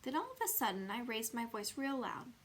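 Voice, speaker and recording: Female storybook reader: high, animated voice, expressive scripted narration for young listeners. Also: a little fast.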